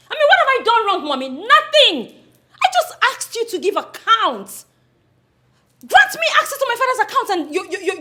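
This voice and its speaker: A woman's voice: high voice, heavily acted. An actress playing a defiant young woman who accuses her uncle and demands her father's company accounts. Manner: defiant, accusing, rising to near-shouting. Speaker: daughter confronting her uncle. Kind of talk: film drama acting.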